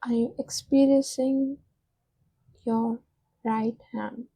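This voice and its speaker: A woman leading a guided meditation in a soft, soothing manner, giving unhurried instructions with long pauses. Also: fairly high pitch, steady tone, husky, no room sound.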